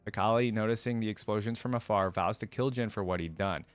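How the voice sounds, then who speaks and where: A man hosting a reaction video, reading aloud and breaking in with casual, curious commentary as he thinks out loud. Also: fairly low pitch, quick pace, no room sound, faint music behind. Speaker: host of a lore reaction video.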